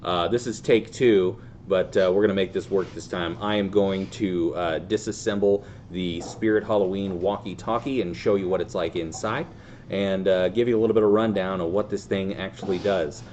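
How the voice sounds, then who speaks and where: Low-voiced man, casual and deadpan. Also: normal speed. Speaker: host of a fan group's how-to video. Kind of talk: casual hands-on demonstration. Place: indoor tabletop video shoot. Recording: clear background noise.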